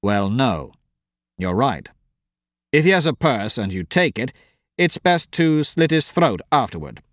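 A man voicing a cold, sardonic young male thief who talks in a dry, clipped, deadpan way. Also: mid-range voice, measured pace, no room sound.

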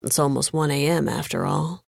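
A woman voicing a polite, businesslike male casino manager with measured, formal speech in an audiobook. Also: low voice, expressive, vocal fry, darkish tone, slightly rough, no room sound, studio quality, exaggerated voice.

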